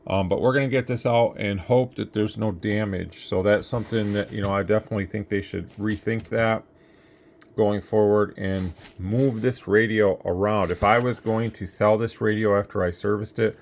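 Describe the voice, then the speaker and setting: A man with a fairly low voice hosts a casual unboxing review. He rambles along, thinking aloud as he goes. It is recorded on a phone, with noticeable noise behind him. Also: steady tone, moderate pace, vocal fry. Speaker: product reviewer and host. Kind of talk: casual unboxing review, talking through thoughts. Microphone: phone, handheld.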